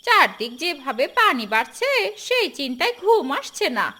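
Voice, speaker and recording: Very high-pitched scolding village wife voiced by a woman. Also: very animated, normal speed, pushed and bright, exaggerated voice. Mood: exasperated. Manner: nagging, reproachful. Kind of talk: Bengali fairy-tale cartoon dialogue. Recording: clear background noise, outdoor ambience.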